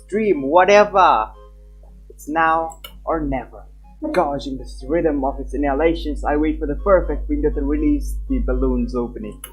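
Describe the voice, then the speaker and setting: A streamer reading a visual novel aloud live and chatting casually with viewers. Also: male voice, a little high, slightly rough, soft background music, low-quality recording. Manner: animated, chatty, quick laughs.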